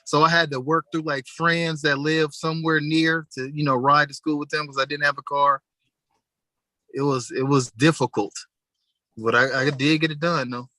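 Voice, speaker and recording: A young man with a bright, fairly high voice talks casually on a podcast. His stories come out relaxed and full of slang, and the sound is close and dry. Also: normal speed, no room sound. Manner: laid-back, storytelling with slang. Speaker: podcast co-host. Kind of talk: casual chat.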